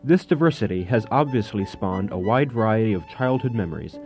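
Low-voiced man narrating a documentary. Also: fairly quick, soft background music. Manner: calm, formal reading.

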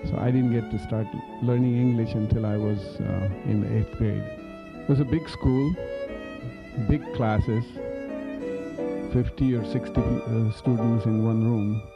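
A man from India whose first language is Marathi speaks in a measured, mid-pitched voice, pausing on fillers. The recording is dull, with music beneath. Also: fairly even intonation, vocal fry. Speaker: interviewee. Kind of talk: oral history reminiscence.

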